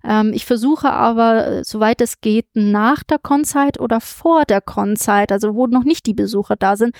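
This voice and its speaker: A woman's voice: on the high side, moderate pace, a little mellow, no room sound, studio quality. A woman guest in a casual interview at a comic convention, hesitant with many fillers and restarts. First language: German.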